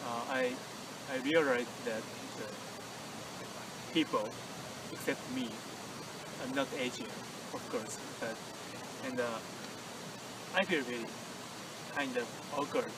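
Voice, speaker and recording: A male exchange student answers hesitantly, filling pauses with "uh". He is picked up from a distance, with clear noise behind him. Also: mid-range voice, very animated. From Japan. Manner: hesitant, with repeated fillers. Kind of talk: casual interview answers. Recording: distant mic, noisy background.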